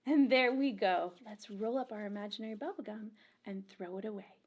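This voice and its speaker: A woman reading a rhyming picture book aloud to young children as a storytime librarian, with an expressive, deliberate, sing-song storyteller delivery. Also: mid-range voice, highs cut off.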